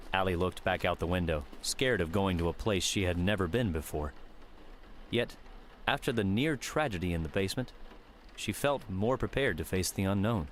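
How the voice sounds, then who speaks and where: A man narrating an audiobook in a steady, measured reading. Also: low voice, a little hiss or hum.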